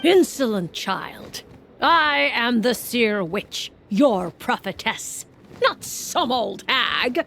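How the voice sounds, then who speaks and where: A woman's voice: on the high side, animated, slow, creaky, a bit bright, a little hiss or hum, exaggerated voice. A woman voicing a proud seer witch who delivers a prophecy in a commanding, solemn way. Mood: indignant. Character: haughty seer witch prophetess, stern and grand.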